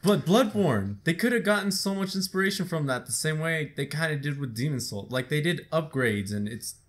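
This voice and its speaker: A loud young man chatting with friends on a gaming podcast. He laughs often and swears freely. His pitch swings widely as he talks. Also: expressive, fairly quick. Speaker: podcast co-host. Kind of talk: casual chat among friends.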